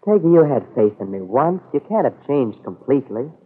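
An actor with an American accent playing an earnest, hesitant young soldier in an old radio soap opera, on a muffled vintage recording. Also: male voice, mid-range voice, very animated, moderate pace, breathy edge. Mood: earnest pleading. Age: young adult. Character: earnest young soldier pleading with the girl he loves.

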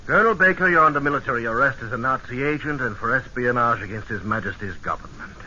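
An actor playing a polite, shrewd military intelligence captain, measured and probing, in a vintage radio drama on an old broadcast recording. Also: male voice, medium pitch, animated, bright, forceful tone, highs cut off, a little hiss or hum. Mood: stern, accusing. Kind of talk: 1940s-50s radio drama acting.

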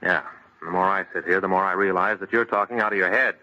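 No-nonsense, impatient best friend in radio horror, sharp-edged blunt delivery, dull aged broadcast sound. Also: male voice, low voice, fairly even intonation, quick pace. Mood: scoffing. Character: sensible, exasperated male friend and future brother-in-law. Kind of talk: old-time radio horror acting. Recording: dull, noisy vintage radio recording.

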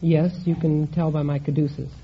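A man's voice: a little high, level tone, normal speed. Earnest young American man, muffled old radio. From Oregon, United States. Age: 20s. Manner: earnest, straightforward. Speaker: quiz show contestant. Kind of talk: answering interview questions. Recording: muffled old radio broadcast, faint noise.

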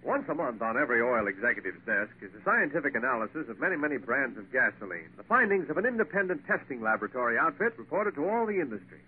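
A folksy American man delivers an old radio sales pitch with emphasis and big swings in pitch. The broadcast sounds thin and phone-like, with a light layer of noise. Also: very animated, fast, hardly any pauses. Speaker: commercial announcer. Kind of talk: 1940s radio advertisement. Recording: tinny, hissy vintage radio broadcast.

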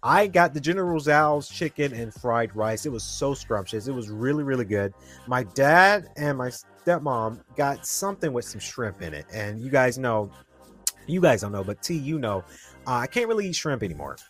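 A man's voice: mid-range voice, sing-song, moderate pace, a bit bright, soft background music. A man in his twenties hosting a podcast, telling a rambling story and talking straight to his listeners. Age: 20s. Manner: rambling, chatty, addresses listeners directly. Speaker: podcast host. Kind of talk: casual solo podcast storytelling.

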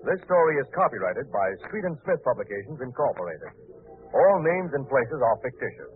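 A man's voice: mid-range voice, big pitch swings, brisk. A man giving a scripted 1940s radio sign-off. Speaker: radio announcer. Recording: phone-like old radio, music behind.

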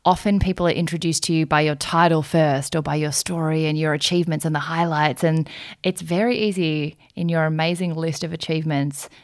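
Bubbly woman podcast host, slightly low voice, quick laughs, friendly interview chat. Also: fairly even intonation. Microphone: remote recording, some muffled spots.

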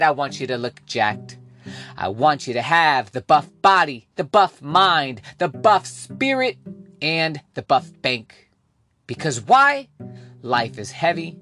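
A man's voice: fairly high pitch, bright, forceful tone. A man hosting a motivational podcast, delivering a scripted monologue in a hyped, playful way and drawing out key words for drama. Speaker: podcast host.

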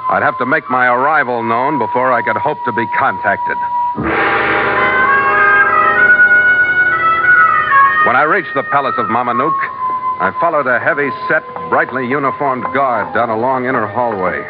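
An actor playing a steady, seasoned sea captain, narrating a 1940s radio adventure in a clipped, dramatic, hard-boiled manner. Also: male voice, mid-range voice, close to an everyday voice. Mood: tense, uneasy. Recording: muffled old radio recording. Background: dramatic music.

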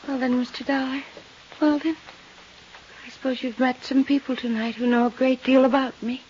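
An actress playing a fragile, unhinged wealthy widow in a 1950s radio drama, rambling in halting, repetitive phrases. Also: female voice, high-pitched, flat delivery, a little mellow, lightly acted. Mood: dazed, wistful. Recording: muffled, hissy 1950s radio recording.

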